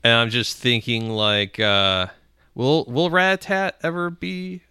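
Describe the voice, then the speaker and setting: A man co-hosting a casual comedy podcast, circling through halting descriptions with deadpan asides. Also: mid-range voice, clean tone, no echo, studio quality. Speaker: podcast co-host.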